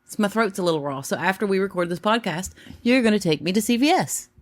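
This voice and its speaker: A woman co-hosting a casual podcast, bantering and teasing, her voice a little gravelly from a sore throat. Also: mid-range voice, lively intonation, quick pace. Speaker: podcast co-host. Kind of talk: casual chat.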